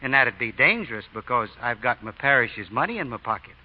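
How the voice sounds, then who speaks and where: An American actor playing a folksy, polite deacon with a sly show of naivety, in old-time radio drama style. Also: male voice, mid-range voice, sing-song, fairly quick, husky. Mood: guileless. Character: folksy mild-mannered deacon, slyly feigning innocence at cards. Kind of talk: 1930s-40s radio drama acting. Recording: dull, noisy old radio recording.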